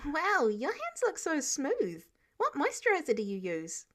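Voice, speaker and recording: Woman voicing an eager, high-pitched teenage superhero boy. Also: huge pitch swings, measured pace, fairly bright tone, exaggerated voice. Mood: excited, rambling. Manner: breathless rambling chatter. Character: eager, rambling fifteen-year-old boy superhero, awkward and earnest. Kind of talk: fan fiction audiobook character voice.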